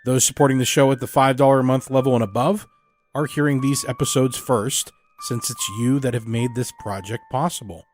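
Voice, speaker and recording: A man's voice: mid-range voice, darkish tone. A man hosting an audio drama podcast, reading a scripted introduction to the episode. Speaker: podcast host. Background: music.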